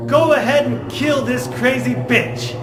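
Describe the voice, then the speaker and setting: An actor playing an arrogant, sardonic cult priest in a video game, with a theatrical, mocking delivery. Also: male voice, very high voice, measured pace. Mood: gloating. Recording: echoey, under loud music. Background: loud music.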